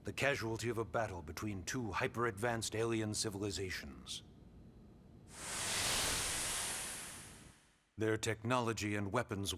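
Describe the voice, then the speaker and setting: Measured, low-voiced military commander. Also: male voice, fairly bright tone, slightly rough, exaggerated voice. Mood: somber. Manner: measured, deliberate, with weighty pauses. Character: composed, authoritative military commander of a human colony. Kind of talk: video game cutscene acting, expository dialogue.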